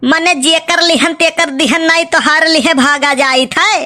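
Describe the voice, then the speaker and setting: A woman's voice: high voice, steady tone, a little slow, pushed and bright. A woman voicing a suspicious, sarcastic cartoon moneylender who insistently nags, with an Awadhi accent and comic exaggeration. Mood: demanding. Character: suspicious, nagging village moneylender demanding repayment.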